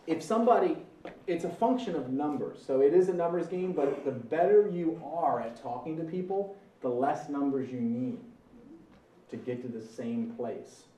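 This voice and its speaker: A man's voice: medium pitch, roomy sound, far from the mic, low-quality recording. A man leading a live sales training, talking casually and quizzing the audience. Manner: energetic, conversational, asks the audience questions. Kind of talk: live training talk to a room. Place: meeting room with an audience.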